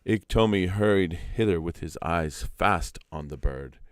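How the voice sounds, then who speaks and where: A man with a low voice narrates a storybook aloud. He is measured and deliberate, with expressive delivery, and the sound is close and dry. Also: smooth, no room sound.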